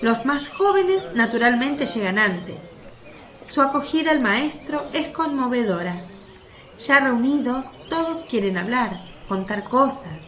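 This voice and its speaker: High-voiced woman narrating a radio play. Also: animated, unhurried, a bit bright. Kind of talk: scripted dramatic narration of a religious radio play.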